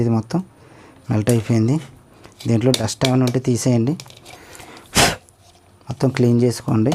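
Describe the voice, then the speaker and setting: A man walks viewers through a speaker repair, talking quickly and steadily as he works. His voice is dark and mellow, and he keeps pointing things out step by step. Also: medium pitch, a little hiss or hum. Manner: explains step by step, often asks the viewer to look. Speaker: electronics repair tutor. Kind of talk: hands-on repair tutorial.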